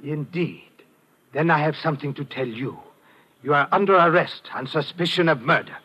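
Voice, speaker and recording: A stern police lieutenant played by a male actor in a 1950s radio drama, foreign and very formal. His voice is on the high side and he words everything precisely. The sound is a dull old broadcast. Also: moderate pace, background noise, natural voice. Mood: stern, urgent. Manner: precise, formal speech without contractions.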